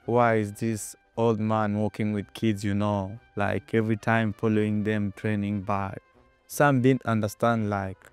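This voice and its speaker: Low, flat-voiced young Kenyan man. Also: unhurried, clean tone, soft background music. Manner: hesitant, many fillers like "you know". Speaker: footballer guest. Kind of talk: interview answers.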